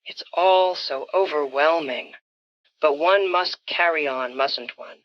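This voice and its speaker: A woman acting a sultry, scheming rich widow who speaks slowly and languidly in a lowish voice. She is coy and theatrical, a radio-noir femme fatale. The recording is slightly muffled. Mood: mournful, affected. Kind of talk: old-time radio detective drama.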